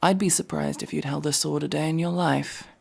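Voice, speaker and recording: Man acting as a prickly fantasy elf mercenary, slightly high male pitch, very crackly fry, curt, sardonic, exasperated, ASMR roleplay. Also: fairly even intonation, brisk, heavily acted. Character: aloof, prickly tsundere elf mercenary, reluctant rescuer. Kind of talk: ASMR fantasy roleplay, acted monologue to listener.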